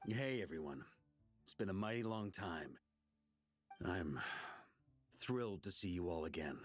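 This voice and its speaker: A weary, warm-hearted knight leader from a fantasy video game. His voice sits fairly low and swings expressively between phrases. He talks in measured lines with wry asides, over faint music. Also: expressive. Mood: relieved, fond.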